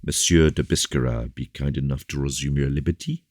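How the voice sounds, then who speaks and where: A man voicing a solemn, commanding bishop who speaks with grave, measured courtesy in an audiobook. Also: extremely low pitch, lively intonation, dry recording. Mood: courteous. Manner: grave, measured rhetoric with repeated appeals. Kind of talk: audiobook character dialogue.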